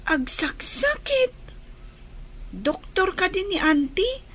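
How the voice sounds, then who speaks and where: A woman's voice: very high voice, big pitch swings, slow pace, a bit bright, full character voice. A woman playing a playful, innocent young child in an Ilocano radio drama. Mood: playful, curious.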